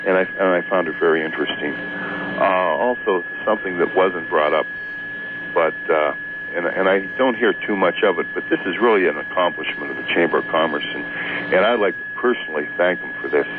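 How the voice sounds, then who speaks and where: Low-voiced man on a noisy phone line. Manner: halting, repetitive, emphatic. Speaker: radio call-in guest. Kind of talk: casual political chat on talk radio. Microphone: telephone. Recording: tinny phone line, noisy hum, radio aircheck.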